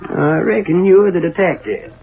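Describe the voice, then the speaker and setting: An actor playing a surly, folksy butler who gives gruff warnings in an old-time radio drama. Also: male voice, sits high, normal speed. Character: surly, warning butler of a gloomy country mansion. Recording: tinny, muffled vintage radio recording.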